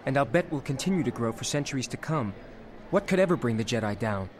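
An actor playing an eager, caring younger Jedi brother in an audio drama, earnest and boyish. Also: male voice, mid-range voice, lively intonation, fast talker, close to an everyday voice. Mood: earnest, concerned.

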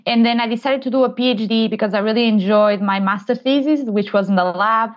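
A woman's voice: a little high, fast talker. Portuguese-accented woman, slightly muffled recording. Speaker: podcast guest, tech transfer professional. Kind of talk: conversational interview answers.

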